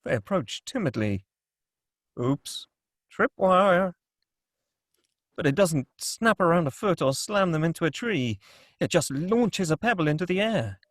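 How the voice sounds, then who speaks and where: A man's voice: on the high side, very animated, unhurried, pauses often, a bit bright, no room sound, studio quality. A man reading scripted stage directions as the narrator of a screenplay table read.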